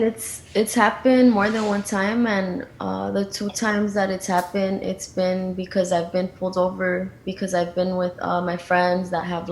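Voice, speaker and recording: Flat-voiced young woman, echoey room. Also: on the low side. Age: 20s. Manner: flat delivery with many likes and ums. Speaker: youth justice activist. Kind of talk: interview testimony. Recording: roomy echo, faint noise.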